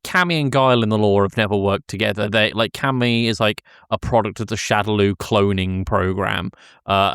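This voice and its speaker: A man's voice: fairly low pitch, a bit bright, smooth, no echo, studio quality. A man hosting a podcast, casually discussing film with a pedantic, detail-minded way of talking. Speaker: podcast host.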